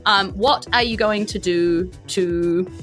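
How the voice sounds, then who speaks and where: A woman's voice: mid-range voice, takes it slowly, fairly bright tone, faint music behind. A woman with a New Zealand accent running a tabletop game, improvising narration in a loose, conversational way. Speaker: game master.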